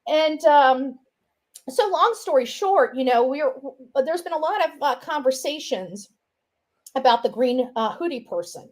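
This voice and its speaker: A woman's voice: high-pitched, animated, measured pace, darkish tone. A woman giving a casual, opinionated monologue, rambling along and often repeating herself with filler phrases. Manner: rambling, repeats herself, says "you know" and "right" often.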